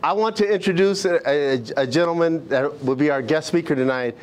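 A man's voice: a little high, a little fast. A man hosting an event in a small room, introducing the guest speaker and joking with the audience, tripping over repeated words. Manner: warm, joking with the crowd, stumbles on repeated words.

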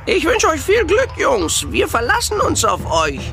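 Casting: wise old turtle aunt, gravelly, hugely animated, children's radio play. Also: female voice, high voice, soft background music, full character voice. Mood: solemn, urging. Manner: slow, oracular, drawn-out delivery. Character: kindly ancient female turtle, wise and solemn.

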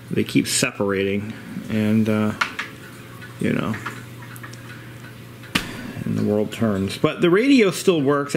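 Casual man rambling over background noise. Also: fairly low pitch. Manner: rambling, halting, plain-spoken with mild swearing. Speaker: vintage radio restorer, vlogger. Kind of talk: casual rambling narration of a repair. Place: indoors in a home. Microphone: handheld camera, operator behind it. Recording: clear background room noise.

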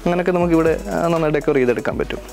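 A man's voice: fairly high pitch, rapid-fire, a little mellow, faint music behind. A man with a Kerala Malayali accent giving a casual, practical venue walkthrough as an event planner and vlog host, mixing in English terms. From Kerala, India. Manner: explanatory, practical, peppers talk with English terms.

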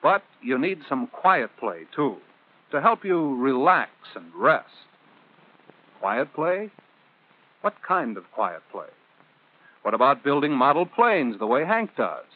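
A man's voice: mid-range voice, very animated, takes it slowly, long pauses. An American man narrating a 1950s instructional film, speaking in simple, conversational phrases as if directly to a child. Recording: muffled telephone-like old film soundtrack.

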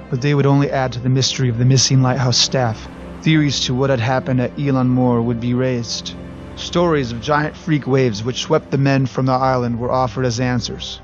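A man narrating a scripted documentary in a measured, even storytelling manner. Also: medium pitch. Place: voice-over recorded apart from the footage. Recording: slightly dull recording with faint music.

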